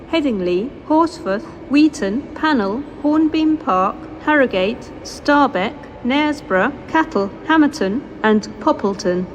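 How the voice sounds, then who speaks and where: A British woman reading scripted railway announcements over a station loudspeaker, formal and measured, in segmented phrases. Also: on the high side. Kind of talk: scripted public-address train announcements. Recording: noisy station PA recorded on location.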